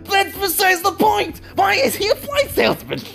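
Excitable casual monologue, lively swooping intonation, giggly, stammered repeats, mid-range pitch, music in background. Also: unhurried, creaky. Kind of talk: casual rambling monologue about audio dramas.

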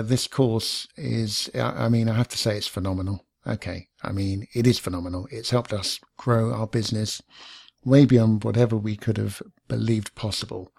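A British man hosting a podcast, relaxed and unhurried, with a smooth radio voice that has a gravelly, fry-tinged edge. He pauses often on "uh". The sound is dry and close, with no room echo. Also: fairly low pitch. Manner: laid-back, smooth, many "uh" fillers. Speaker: podcast host. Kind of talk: interview podcast.

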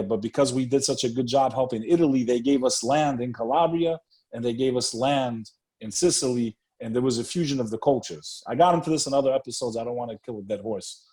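A man's voice: mid-range voice, brisk, darkish tone. Streetwise man hosting a casual interview. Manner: streetwise, profane, repeats phrases. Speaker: podcast host.

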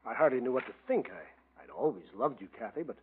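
An actor playing a meek, dull, devoted older husband, stammering and hesitant, on a tinny old radio broadcast. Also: male voice, medium pitch, very animated, fast, close to an everyday voice. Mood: timid. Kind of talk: 1940s radio acting.

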